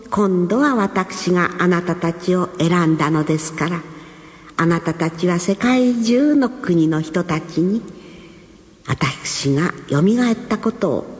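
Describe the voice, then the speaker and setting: A woman voicing a calm, gentle male teacher who speaks in a soft, measured, kindly way. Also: lowish voice, in a large hall. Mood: solemn.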